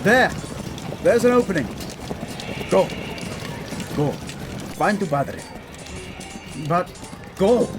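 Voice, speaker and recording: An actor playing a commanding young prince leading an army in an audio drama, urgent and forceful, dropping in Spanish words. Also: male voice, high-pitched, expressive, takes it slowly, long pauses, music in the background. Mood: urgent battle command. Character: commanding, battle-hardened young Spanish-speaking prince turned war leader.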